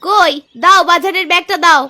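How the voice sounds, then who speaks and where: A woman's voice: very high-pitched, steady tone, fairly quick, pushed and bright, heavily acted. A woman voicing a hospitable, slightly anxious village housewife in a cartoon. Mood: annoyed. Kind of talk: Bengali cartoon voice acting.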